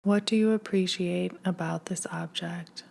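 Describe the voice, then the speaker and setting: Female meditation guide: lowish pitch, gravelly fry at phrase ends, gentle and hushed scripted read with unhurried gaps. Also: fairly even intonation. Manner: gentle, soothing, hushed delivery with long pauses.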